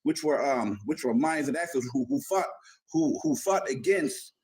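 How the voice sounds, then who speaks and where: A man from Dominica in a casual group debate, speaking haltingly, repeating words and restarting his sentences. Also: medium pitch, animated, darkish tone, breathy edge, low-quality recording.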